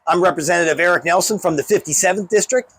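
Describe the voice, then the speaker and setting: A man's voice: high voice, quick pace, fairly bright tone, breathy edge, dry recording. An American man hosting a casual on-location interview as a legislator, talking in a rambling way with false starts. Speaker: state legislator and show host.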